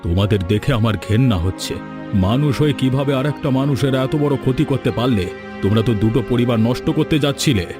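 A man's voice: medium pitch, a little fast, music in the background, lightly acted. Stern village elder played by a man. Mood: disgusted, scolding. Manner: scolding, solemn, emphatic. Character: stern, righteous village elder judging wrongdoers. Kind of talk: Bengali fairy tale cartoon dubbing.

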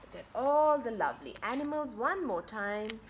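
A woman in her thirties reading a picture book aloud to children in an expressive, questioning way, outdoors. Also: fairly high pitch, normal speed, slight background noise, poor audio. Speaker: children's story reader and teacher. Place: outdoors on a home patio.

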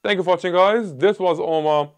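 A man in his thirties giving a scripted gear review on a small indoor set, talking loudly and emphatically into a lapel mic. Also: high voice, brisk, smooth. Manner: loud, emphatic delivery.

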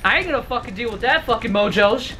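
A man in his twenties doing loud, profane let's-play commentary in his bedroom, his voice going hoarse. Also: very high voice, animated, fast talker. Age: 20s. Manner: loud, profane, yelling at the game. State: losing his voice. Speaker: gamer. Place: bedroom, indoors.

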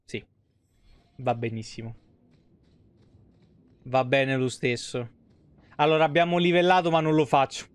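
A man's voice: mid-range voice, flat delivery, a little slow, pauses often, clear, resonant tone, no echo. A man in his thirties, a game streamer talking casually and informally in speech on a close mic. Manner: informal, crude slang. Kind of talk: casual live commentary.

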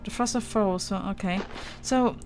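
A woman's voice: mid-range voice, normal speed. A woman doing casual, exasperated, sweary let's play commentary and reading on-screen text aloud. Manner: sweary, exasperated banter with the game. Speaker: gaming video host. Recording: loud game music behind. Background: game music.

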